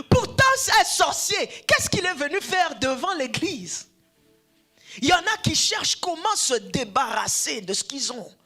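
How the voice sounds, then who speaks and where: High, animated preaching woman, slow and dramatic. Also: soft background music. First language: Swahili. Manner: dramatic swings from hushed whispers to shouting; repeats phrases for emphasis. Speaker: preacher, addressed as apostle. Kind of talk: sermon.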